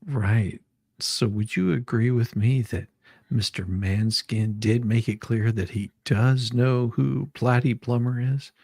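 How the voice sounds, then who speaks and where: A man playing a questioning attorney in an amateur dramatic reading of a deposition, halting and repeating his words. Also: medium pitch, fairly even intonation, mellow, breathy edge, no echo, studio quality. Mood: questioning, patient.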